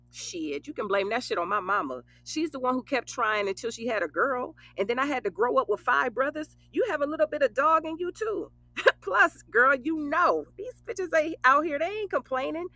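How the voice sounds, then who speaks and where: An actress playing a brash, bawdy but loyal young best friend, blunt and teasing, in an audio drama. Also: female voice, high voice, big pitch swings, moderate pace, pushed and bright, no echo, full character voice. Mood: joking. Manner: sassy, blunt, foul-mouthed, teasing. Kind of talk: casual conversational audio drama.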